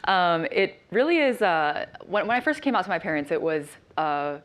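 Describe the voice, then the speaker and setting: A woman's voice: on the low side, very animated, normal speed. A woman speaking on a panel, light and self-deprecating, laughing often as she talks. Her first language is Shanghainese. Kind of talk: casual panel talk.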